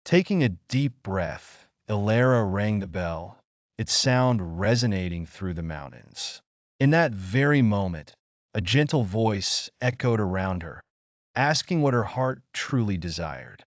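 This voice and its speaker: A man narrating a scripted children's story in a measured, earnest storybook manner. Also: on the low side, animated, no echo, highs cut off.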